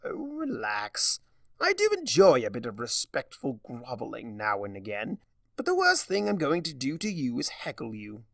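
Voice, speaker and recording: A man plays a theatrical villain of chaos from a fan fiction story. The voice swoops widely and creaks at the edges, drawling with smug, teasing mischief. The recording is close and dry. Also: medium pitch, huge pitch swings, normal speed, fairly bright tone, dry recording, recorded from a distance, full character voice. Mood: playful. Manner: drawling, grandly teasing, self-amused. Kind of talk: dramatic fan fiction reading.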